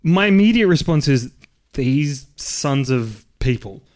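Deep-voiced man, casual podcast co-host, rambling, jokey delivery. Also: lively intonation, slow pace, darkish tone. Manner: rambling, self-correcting, joking. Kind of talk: casual chat.

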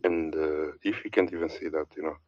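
A man's voice: steady tone, a little fast, darkish tone, no echo, highs cut off. A man giving a heated, rambling religious monologue, repeating words and lists as he preaches. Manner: preachy, rambling, repeats words, mocks others in a put-on high voice. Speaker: religious commentator.

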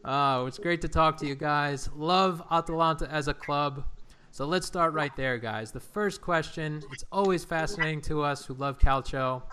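A man hosting a casual sports podcast, enthusiastic and quick to laugh as he introduces and questions his guests. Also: fairly high pitch, pushed and bright, a little hiss or hum. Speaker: podcast host.

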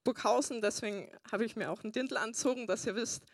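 A woman's voice: on the high side, fast, no room sound, studio quality. A young woman pastor preaching a sermon and telling her personal testimony, earnest with frequent fillers. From Bavaria, Germany.

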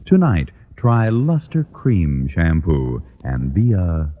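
A man reading a 1940s radio advertisement in a husky voice. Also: sits low, wildly swooping pitch, takes it slowly. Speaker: commercial announcer. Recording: tinny, narrow-band old radio recording.

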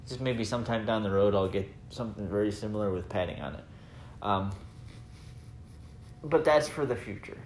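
A man's voice: lowish voice, normal speed, darkish tone, slight background noise. An American man hosting a casual personal audio diary, talking in a chatty, rambling way. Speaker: podcast host. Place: indoors at home, room with laminate floor.

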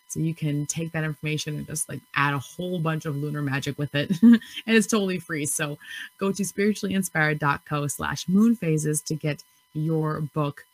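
A woman's voice: low-pitched, a little mellow, husky, poor audio. A woman in her thirties hosting a solo podcast in a chatty, giggly way, often correcting herself mid-sentence. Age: 30s. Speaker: podcast host.

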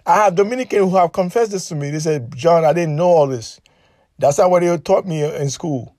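A man's voice: fairly high pitch, fairly quick, darkish tone, no echo, studio quality. A man giving an impassioned, preachy political monologue, emphatic and repetitive, who grew up in Haiti. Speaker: online commentator.

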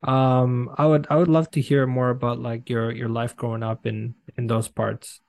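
A man's voice: mid-range voice, little pitch movement, normal speed, darkish tone. Man hosting a podcast interview. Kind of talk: conversational interview.

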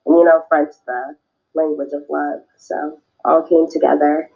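A woman's voice: sits low, level tone, measured pace, gravelly. A young woman raised in Cameroon and California, answering interview questions in a loose, rambling way with many fillers. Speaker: guest, swimwear business owner. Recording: dull lo-fi recording.

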